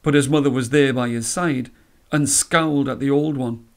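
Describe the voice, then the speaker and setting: A man reading a story aloud as a storyteller, with measured, dramatic phrasing. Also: mid-range voice, steady tone. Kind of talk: expressive scripted storytelling.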